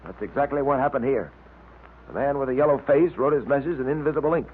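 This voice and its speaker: A man's voice: mid-range voice, lightly acted. Tinny old-radio detective hero, played by a man. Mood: confident, explaining. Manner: brisk, confident, explanatory. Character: cool-headed, commanding male detective hero. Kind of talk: 1940s radio acting. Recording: tinny, faintly noisy vintage radio recording.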